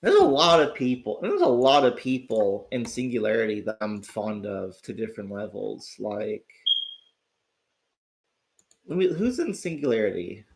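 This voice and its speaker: A man with a raspy, slightly sick voice talks casually on his own livestream from home. His pitch swings widely as he rambles, restarts his sentences and swears when he gets excited. The talk is loose and unscripted, aimed at his chat. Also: moderate pace, slight background noise. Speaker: livestream host.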